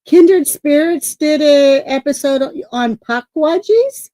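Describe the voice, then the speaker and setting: A middle-aged woman co-hosting a paranormal talk show, chatting casually and loudly with a projecting voice, rambling with many restarts and self-corrections. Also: sits high, takes it slowly, no room sound. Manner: self-described talker, loud and projecting. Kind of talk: casual livestream chat.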